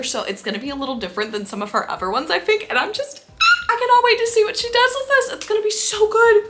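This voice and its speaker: Bright-voiced, excitable woman chatting casually. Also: a little high. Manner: gushing, excitable, emphatic. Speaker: book reviewer vlogger. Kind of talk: casual chat to viewers.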